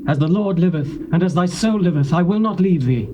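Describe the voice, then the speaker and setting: An actor with a British accent playing an earnest, devoted prophet in a dramatized scripture, declaiming solemnly in archaic English. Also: male voice, high-pitched, fairly quick, natural voice. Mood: steadfast. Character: earnest, devoted younger male prophet, loyal and commanding. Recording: faint noise from old cassette tape.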